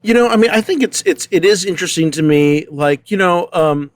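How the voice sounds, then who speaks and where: A man's voice: high-pitched, animated, a little fast, no room sound, clean studio sound. A man in a casual, analytical discussion, talking conversationally and often checking that listeners follow. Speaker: panelist.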